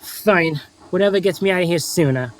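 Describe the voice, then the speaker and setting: A man's voice: high voice, moderate pace, close to an everyday voice. A man playing a grumpy, devious diesel engine in a children's railway story, sarcastic and boastful in manner. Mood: dismissive.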